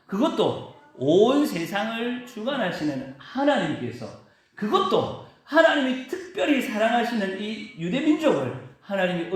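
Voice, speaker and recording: Pastor, male, emphatic preaching style, animated pitch, heavy church-hall reverb. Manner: preaching with emphatic rises and drawn-out stresses. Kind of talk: sermon. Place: church sanctuary.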